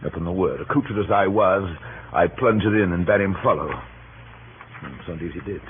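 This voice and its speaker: Man acting scheming Cassius, low declamatory 1930s radio voice. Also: moderate pace, close to an everyday voice. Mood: impassioned, bitter. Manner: declamatory, rhetorical verse delivery. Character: Cassius, scheming, bitterly envious Roman nobleman. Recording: noisy, tinny 1930s radio broadcast.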